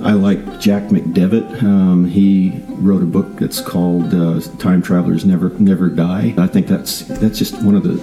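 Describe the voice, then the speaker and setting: A man's voice: sits low, steady tone, a little slow, hardly any pauses, dark tone, soft background music, low-quality recording. An older man, a retired scientist turned author, chatting in a rambling way with many fillers and restarts. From Southern California. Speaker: guest author. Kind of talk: casual interview chat.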